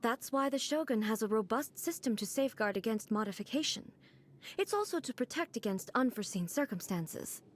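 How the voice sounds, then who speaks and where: A poised, aloof ruler, slightly high voice, measured and formal. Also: female voice. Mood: calm. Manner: calm, measured, formal speech. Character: composed regal woman ruler, aloof and formal. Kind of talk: video game cutscene dialogue.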